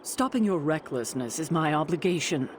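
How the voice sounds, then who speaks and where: An actress playing a protective, commanding sorceress in a video game, authoritative and forceful as she scolds. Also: deep voice, expressive, normal speed, a little hiss or hum. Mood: scolding.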